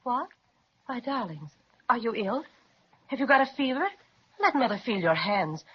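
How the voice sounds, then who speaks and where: An actress playing a gentle, fretting mother of two children, with a breathy voice, in a 1930s radio serial. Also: a little high, lively intonation, normal speed. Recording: muffled old radio recording.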